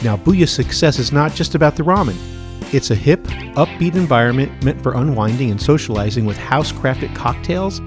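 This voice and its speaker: Host: mid-range man, upbeat scripted restaurant promos over audible music. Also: mid-range voice. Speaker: host of a local food show. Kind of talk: scripted food-segment narration and interview.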